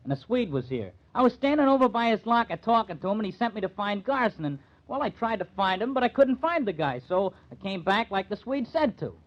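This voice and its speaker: A cocky little sports hanger-on with a high, swooping voice. He talks in slangy, defensive bluster in an old radio crime play, on a dull vintage recording. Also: very animated, a little fast, few pauses. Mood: flustered, defensive. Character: eager, cocky male hanger-on, baseball team's number one fan. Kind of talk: old-time radio crime drama acting.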